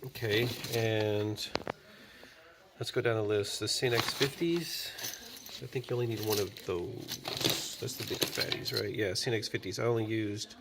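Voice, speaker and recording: A man's voice: fairly low pitch, normal speed. A man hosting a casual DIY walkthrough, thinking aloud and tallying parts as he goes. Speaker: DIY video host. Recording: noisy background.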